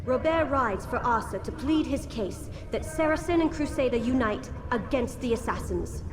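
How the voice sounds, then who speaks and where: A woman playing a proud, coolly defiant Templar in a video game, speaking in a measured, mocking way. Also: on the high side, lively intonation, music in the background, close to an everyday voice. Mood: taunting. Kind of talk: dramatic video game cutscene acting.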